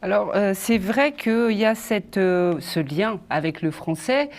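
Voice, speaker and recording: A woman's voice: medium pitch, animated, unhurried. A woman interviewing a guest on television, asking long questions with frequent fillers.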